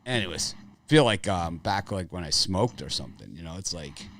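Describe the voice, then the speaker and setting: A man with a raspy voice hosting a sports betting show, talking casually in a rambling, opinionated way with lots of fillers. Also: deep voice, expressive, moderate pace, fairly bright tone, a little hiss or hum.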